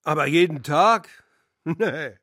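An actor playing a fond, proud old pigeon keeper with a husky voice in a children's radio play. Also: male voice, on the high side, takes it slowly, pushed and bright, dry recording, clean studio sound, full character voice. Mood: amused, modest.

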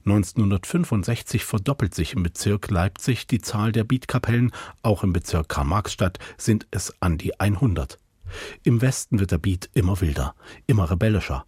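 Man, radio reporter, scripted narration, low register, dry close studio sound. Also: expressive, moderate pace, a little mellow, husky, dry recording.

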